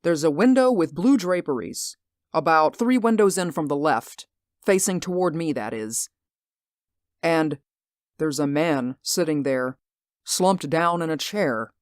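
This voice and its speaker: A woman's voice: low voice, expressive, unhurried, dry recording, clean studio sound. A woman playing an anxious, insistent man in a radio drama, speaking earnestly and emphatically. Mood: earnest, uneasy. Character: anxious, insistent male stage actor spying on neighbours.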